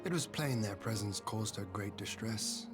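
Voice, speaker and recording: An actor playing a calm, noble young male leader in a game, speaking formally and steadily. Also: fairly low pitch, moderate pace, close to an everyday voice. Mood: steady. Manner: formal and composed. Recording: game dialogue over background music.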